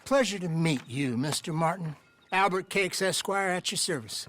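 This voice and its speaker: High-voiced, pompous salesman. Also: expressive, normal speed, fairly bright tone, slight background noise. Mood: delighted, officious. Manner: pompous, effusive sales patter. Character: flamboyant, eager male house salesman, grandiloquent huckster. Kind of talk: theatrical game cutscene acting.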